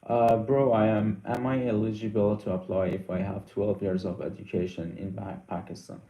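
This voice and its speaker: A young man whose first language is Persian, hosting a casual live Q&A, reading out viewer questions and answering haltingly with many fillers. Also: on the low side, flat delivery, mellow, echoey room, highs cut off.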